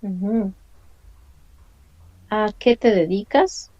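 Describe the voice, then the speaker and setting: A woman's voice: medium pitch, drawn-out words, muffled recording. A woman, teaching an online language lesson and patiently explaining and repeating questions. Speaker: Spanish teacher.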